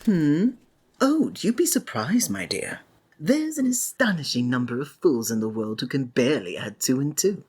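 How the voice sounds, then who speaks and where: Woman playing a breathy, flamboyant, sinister male magician. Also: very deep voice, very animated, moderate pace, a little mellow, full character voice. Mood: smug, coaxing. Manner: flowery, theatrical, honeyed, frequent drops into whisper. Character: flamboyant, sinister male stage magician, courteous, coaxing, possessive. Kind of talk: ASMR roleplay, theatrical monologue.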